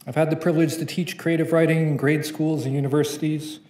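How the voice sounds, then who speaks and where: A man's voice: a little high, little pitch movement, fast, a little mellow, reverberant. A young man performing a spoken-word poem at a conference, reading from notes with a rhythmic, playful delivery. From Canada. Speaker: poet. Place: conference room.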